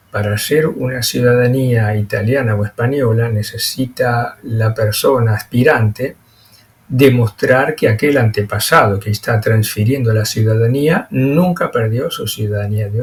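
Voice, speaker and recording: A man presenting an explanatory lecture over slides, speaking haltingly with frequent pauses and repeated words. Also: mid-range voice, darkish tone, a little hiss or hum.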